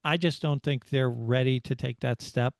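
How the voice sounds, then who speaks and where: A man's voice: mid-range voice, normal speed, no echo. American man, casual podcast analysis, dry close sound. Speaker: motorsport podcast co-host. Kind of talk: casual race analysis chat.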